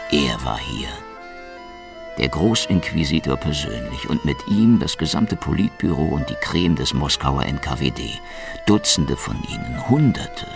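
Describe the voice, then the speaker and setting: Gravelly, very deep-voiced cynical secret policeman. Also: exaggerated voice. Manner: sardonic, dry. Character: cynical, world-weary male secret police investigator in 1930s Russia. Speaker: actor and narrator in a radio play. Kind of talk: noir radio drama, hard-boiled first-person narration.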